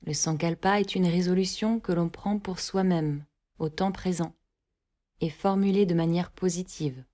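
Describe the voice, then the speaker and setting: Female yoga nidra guide: lowish pitch, very unhurried delivery, calm and measured scripted instructions, plenty of space between phrases. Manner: calm, measured, short phrases with long pauses.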